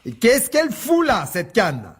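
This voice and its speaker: A man's voice: very high-pitched, huge pitch swings, a little slow, slightly rough, heavily acted. An actor playing an agitated, sarcastic middle-aged divorced father in a French radio drama, biting and insistent. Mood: suspicious. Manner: biting, insistent, repeats himself.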